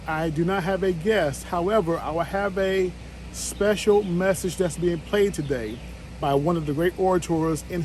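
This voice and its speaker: A man hosts the show and opens it with a plain, sincere introduction. His voice sits a little high for a man, and he pauses now and then. A faint noise runs underneath. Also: a little mellow. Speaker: host.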